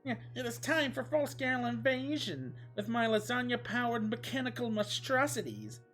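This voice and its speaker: A man's voice: very high-pitched, animated, moderate pace, a bit bright, soft background music, voice pushed up. A man voicing a pompous, sinister English king, bellowing theatrically in a comic story reading. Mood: gloating, sinister. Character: raging, sinister English king, a ranting cartoon villain. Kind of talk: comic fan fiction read aloud.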